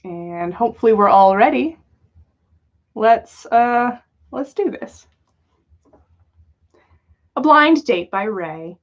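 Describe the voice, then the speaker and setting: High-voiced woman, unhurried, lo-fi stream. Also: lively intonation. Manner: giggly, playful. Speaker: podcast host reading listeners' stories aloud. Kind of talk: storytelling read-aloud with casual chat on a livestream. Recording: dull lo-fi livestream audio.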